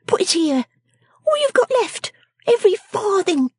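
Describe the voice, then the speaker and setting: Shrill, bossy little shrew girl. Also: big pitch swings, unhurried, no room sound, studio quality, exaggerated voice. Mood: bossy, scolding. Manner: scolding, snappish, emphatic. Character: shrewish, bossy, sickly young girl dressmaker, sharp-tongued. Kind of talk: storybook character acting.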